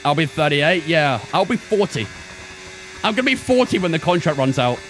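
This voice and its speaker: A man's voice: on the high side, big pitch swings, normal speed, fairly bright tone, a little hiss or hum, low-quality recording. A man in his twenties streaming a racing game, giving chatty running commentary and talking to his chat. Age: 20s. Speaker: gaming streamer. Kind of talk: casual live-stream commentary while racing.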